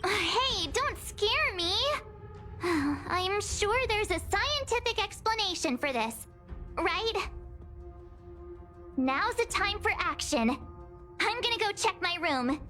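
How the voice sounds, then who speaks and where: A woman voicing a perky, jumpy teenage girl traveler in a video game, with an expressive girlish delivery. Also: very high-pitched, takes it slowly, long pauses, exaggerated voice. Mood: nervous but brave. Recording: music behind the voice. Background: game music.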